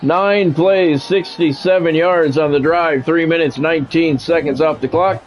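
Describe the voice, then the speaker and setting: A man's voice: a little high, fairly even intonation, measured pace. Man calling a football video game play-by-play. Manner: radio-style play-by-play, breaks into casual swearing and greets chat viewers. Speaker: livestreaming play-by-play commentator.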